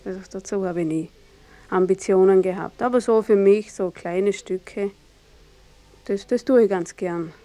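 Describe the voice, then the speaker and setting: An actress playing a modest, worried small-town wife with an Austrian accent, answering hesitantly and repeating herself in a documentary-style radio drama. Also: female voice, mid-range voice, measured pace, mellow, lightly acted. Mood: modest, obliging. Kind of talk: naturalistic mock-documentary interview in radio drama. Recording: music playing in the room behind. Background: classical music on a radio.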